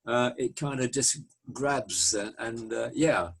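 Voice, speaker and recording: Husky-voiced male radio presenter. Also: medium pitch, slow pace. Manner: agreeable, with frequent short backchannel replies. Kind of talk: casual interview chat.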